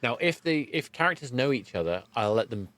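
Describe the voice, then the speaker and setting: A man hosting a podcast interview, explaining in a measured, reflective, conversational way. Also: mid-range voice, animated, fairly bright tone, no room sound. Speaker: podcast host.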